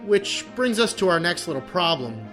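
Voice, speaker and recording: A man playing a brisk, practical superhero in an audio drama. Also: high-pitched, sing-song, pushed and bright, faint music behind, exaggerated voice.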